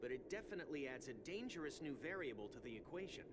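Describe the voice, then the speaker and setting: An actor voicing a brainy, tech-minded ninja turtle who explains things analytically. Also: male voice, on the high side, sing-song, fast, very noisy. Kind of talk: video game cutscene acting.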